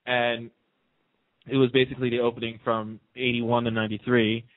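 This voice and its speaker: A man's voice: mid-range voice, flat delivery, moderate pace, no room sound. A man chatting casually as a guest in a radio interview, telling stories in a talkative way. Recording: narrow, tinny phone-line sound.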